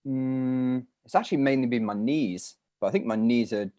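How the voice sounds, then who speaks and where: A man's voice: mid-range voice, moderate pace, warm tone. A British man, a climber, answering questions in a casual live chat, rambling a little as he thinks aloud.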